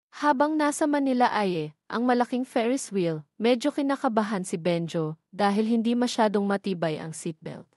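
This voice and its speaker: A woman's voice: medium pitch, darkish tone, dry recording, clean studio sound. A woman reading a scripted romance story as its narrator. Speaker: narrator of romance audio stories.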